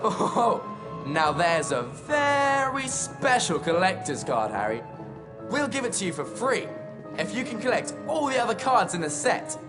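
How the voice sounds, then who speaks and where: Lively teenage wizard salesman. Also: sits high, measured pace. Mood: sales pitch. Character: cheery teenage boy wizard shopkeeper, teasing salesman. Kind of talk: video game character acting. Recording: game music behind.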